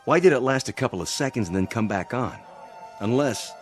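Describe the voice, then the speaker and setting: A man's voice: medium pitch, expressive, a little fast, lightly acted. A man acts a stern officer taking charge in a radio play. He speaks curtly in a middling register, with faint music behind him. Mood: suspicious. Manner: curt, commanding.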